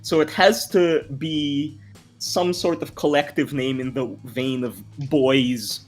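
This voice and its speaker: A man's voice: fairly high pitch, steady tone, takes it slowly. A young man from the Philippines, a member of a music group, chatting casually in an interview over a video call, laid-back and often laughing. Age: young adult.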